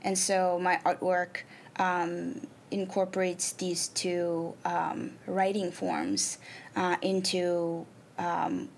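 A young woman from Nepal, a native Nepali speaker, talks through interview answers in a gravelly voice with some vocal fry. She pauses often and fills the gaps with soft ums as she thinks. Faint noise sits under the recording. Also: fairly low pitch, little pitch movement. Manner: thoughtful, many ums and pauses. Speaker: artist and arts program manager.

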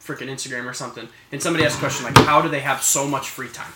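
A young man co-hosting a podcast, chatting casually in a quick, playful and excitable way. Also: mid-range voice, over a noisy crowd. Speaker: podcast co-host. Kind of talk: casual chat. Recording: roomy echo, slightly off-mic.

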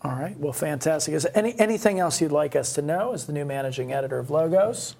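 A man's voice: on the high side, animated, fast talker, vocal fry, dark tone. A man hosting a podcast in a conversational style. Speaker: podcast host.

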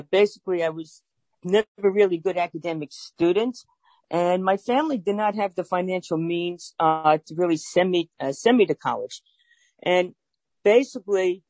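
A woman's voice: fairly low pitch, darkish tone, dry recording. A woman in her sixties with a Brooklyn accent, telling her life story as an interview guest, earnest and plainspoken with some fillers. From Brooklyn, New York.

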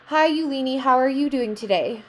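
A woman's voice: high-pitched, a little fast. A woman hosting a podcast, speaking in a casual, conversational interview style. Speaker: podcast host.

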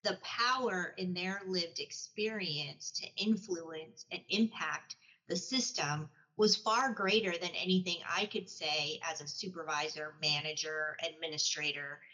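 A woman and former supervisor speaking in a measured, thoughtful way in a panel discussion. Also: on the low side, sharp tone, rough recording.

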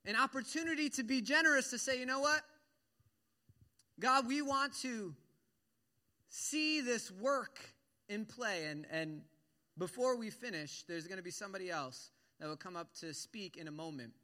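A high, bright male voice from the pulpit. The pastor speaks earnestly, stumbling over repeated words and fillers as he introduces a guest. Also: animated, normal speed. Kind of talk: church announcement from the pulpit.

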